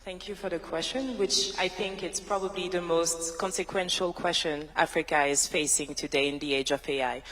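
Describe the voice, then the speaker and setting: A woman, a tech founder giving a measured, emphatic panel answer built from rhythmic lists. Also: fairly low pitch, steady tone, fairly bright tone. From France. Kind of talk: prepared keynote-style panel answer. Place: large university hall, on stage. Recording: reverberant large hall.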